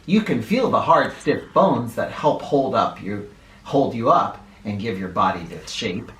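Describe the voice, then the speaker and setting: A man reading a children's picture book aloud in an expressive, instructive way, speaking directly to young listeners. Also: mid-range voice, a little slow, a little hiss or hum. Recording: roomy echo, distant from the microphone.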